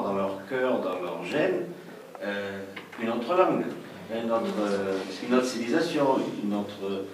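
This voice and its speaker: Man, meeting participant, lower voice, halting delivery; distant mic, heavy hall echo and background noise. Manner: halting, many restarts and fillers. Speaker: audience member in discussion. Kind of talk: hesitant conversational debate. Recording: echoey hall, mic far off, noisy.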